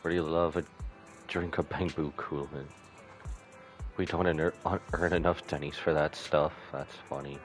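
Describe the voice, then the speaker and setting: A man's voice: very deep voice, level tone, measured pace, soft background music. A man streaming a game live, casually commenting, reading dialogue aloud and muttering asides to himself.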